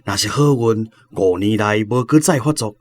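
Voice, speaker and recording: Low-voiced Taiwanese medical professor played by a man. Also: moderate pace, a little mellow, close to an everyday voice. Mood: grave, measured. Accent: Taiwanese Hokkien. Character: measured male medical professor explaining a prognosis.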